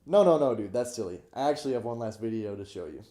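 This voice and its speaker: A man's voice: medium pitch, lively intonation, fast talker, dark tone, natural voice. A young man acting in a student skit as an eager friend coaxing his buddy to work out.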